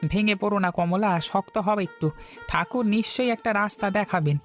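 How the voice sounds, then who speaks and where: A man's voice: high-pitched, fairly quick, fairly bright tone, soft background music. An actor playing a weary, poor middle-aged fisherman, a worried and devoted husband, in a dramatic cartoon folk tale. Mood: reassuring.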